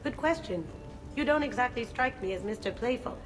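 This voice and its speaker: A woman's voice: on the high side, expressive, a little fast, natural voice. A woman voicing a self-assured, flirtatious game character with a teasing, suggestive way of talking. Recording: music playing behind the voice.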